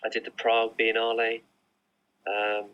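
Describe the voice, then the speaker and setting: Low, flat-voiced man, hesitant and rambling. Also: measured pace, clear, resonant tone, highs cut off. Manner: hesitant, rambling, many fillers and restarts. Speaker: artist, interview guest. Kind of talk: casual interview answers. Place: remote online recording.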